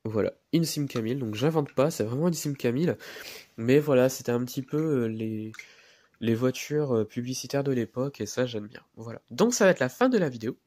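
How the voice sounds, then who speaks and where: Guy with a cold, nasal, lots of ums, dark mellow tone, dry close sound. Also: mid-range voice, lively intonation, fairly quick, dry recording. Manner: hesitant, many fillers, repeats words while handling the model. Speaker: miniature car collector presenting a model. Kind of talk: casual video presentation.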